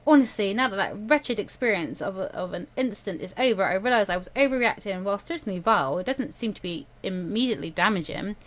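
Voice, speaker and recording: Female game host, mid-pitched, expressive story reading, casual commentary. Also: quick pace, hardly any pauses. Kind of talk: expressive visual novel read-aloud and casual commentary.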